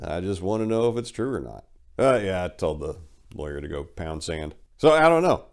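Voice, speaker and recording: A man's voice: lowish voice, darkish tone. A man telling a personal story in a blunt, folksy, slangy way.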